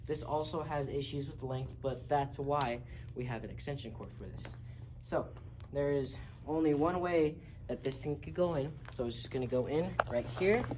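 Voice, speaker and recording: A person giving a casual, step-by-step, hands-on tutorial as an instructor. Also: medium pitch. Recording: noisy amateur video recording, background noise.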